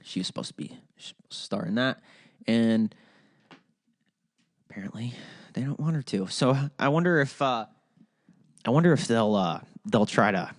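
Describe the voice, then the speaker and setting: A man's voice: mid-range voice, lively intonation, normal speed, pauses often, dry recording, clean studio sound. Rambling Ohio-accented man, podcast host. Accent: Ohio, Midwestern. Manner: rambling, profane, full of fillers and restarts. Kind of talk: casual solo podcast chat.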